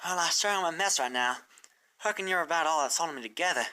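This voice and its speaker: A performer voicing an anxious little filly, wound tight and fretting in a nervous, hurried way. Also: mid-range voice, bright, forceful tone, lightly acted.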